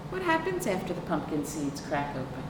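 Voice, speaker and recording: Animated woman reading storytime in a noisy room. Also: low voice, a little fast. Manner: expressive, addresses children with prompting questions. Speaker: children's librarian hosting virtual storytime. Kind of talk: children's storytime read-aloud. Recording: roomy, distant mic, clearly noisy.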